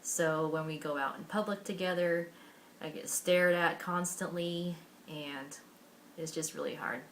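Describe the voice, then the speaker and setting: A young American woman with a low voice tells her own story to camera. She sounds earnest and candid, and she often restarts her sentences and fills pauses with "um" and "you know". A faint hiss runs under the recording. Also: steady tone, fairly bright tone. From Washington State, USA. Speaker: vlogger.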